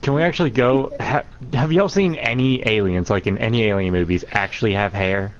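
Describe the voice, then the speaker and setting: A man's voice: fairly low pitch, fairly quick. A man co-hosting a casual podcast, dry and steering the talk back on track. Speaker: podcast co-host. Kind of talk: casual group chat. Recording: dull lo-fi mic.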